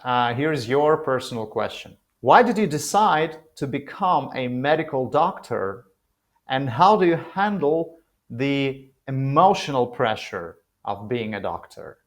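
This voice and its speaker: A man's voice: mid-range voice, animated, a little slow. A man hosting an online pageant chat, reading out questions to contestants and reacting briefly.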